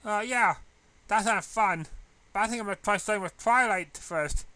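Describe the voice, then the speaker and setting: Brash, confident sporty schoolgirl, high and bright, slangy delivery, performed by a man. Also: normal speed, dry recording. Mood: casual. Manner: casual, slangy, punchy.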